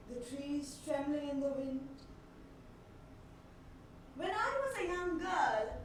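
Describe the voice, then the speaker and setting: High-pitched, haunted woman played on stage by an actress. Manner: slow, deliberate, with dramatic pauses and repetition. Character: haunted, unhinged woman recalling her mother's death. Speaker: solo stage actor. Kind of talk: theatrical dramatic monologue. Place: indoor theatre stage. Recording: echoey theatre stage, distant mic, noisy.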